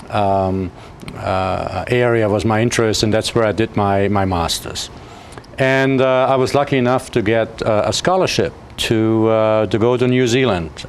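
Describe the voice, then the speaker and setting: A middle-aged Austrian man with a fairly low voice, a physics professor speaking as a guest. He talks haltingly, circling back with fillers and restarts. A faint noise sits under the recording. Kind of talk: interview answers.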